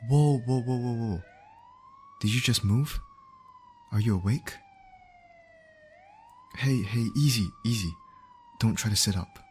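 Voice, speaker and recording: A man's voice: mid-range voice, unhurried, long pauses, darkish tone, slight background noise, natural voice. A man acting a caring field medic in an ASMR roleplay, speaking directly and reassuringly to an injured patient. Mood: startled, urgent. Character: male field medic, calm, caring, urgent under pressure.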